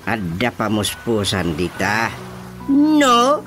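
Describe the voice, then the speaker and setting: Blunt village chairman, ilocano accent, slow drawl, radio comedy w/ music under it. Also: lowish voice, wildly swooping pitch, exaggerated voice. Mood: explaining. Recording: music bed behind the voice.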